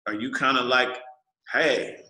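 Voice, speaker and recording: An American man with a very bright, punchy voice hosts a casual podcast. He talks in slangy, playful phrases, and the room adds noticeable echo around him. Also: mid-range voice, a little slow, faint music behind. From born in Washington State, raised in Germany. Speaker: podcast host. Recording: roomy, echoey podcast room.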